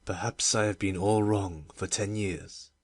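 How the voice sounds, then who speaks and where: A woman doing a low, brooding grieving gentleman. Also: fairly even intonation, no echo, studio quality. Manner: low, slow and pensive. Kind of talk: audiobook dramatic reading.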